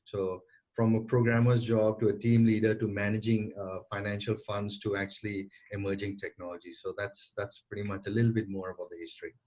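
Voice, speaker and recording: A man's voice: fairly low pitch, flat delivery, brisk, darkish tone, low-quality recording. A man in his forties, a guest entrepreneur answering interview questions earnestly over a remote call, often repeating and restarting words. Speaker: guest entrepreneur and sales coach.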